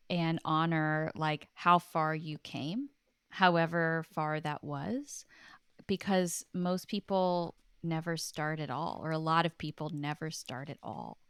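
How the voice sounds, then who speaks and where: A woman's voice: deep voice, clean tone, no room sound, studio quality. A woman speaking earnestly and informally as an interview guest, reflective and casual, often saying "like". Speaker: guest, writer and author.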